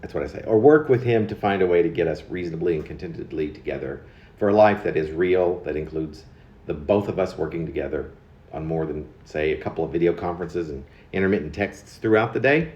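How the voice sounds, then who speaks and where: Low-voiced man reading in an echoey room. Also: darkish tone. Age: 50s. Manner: halting, pausing mid-phrase with stressed words. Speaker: poet. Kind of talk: reading own confessional autobiographical poem aloud. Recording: dull lo-fi recording in echoey room.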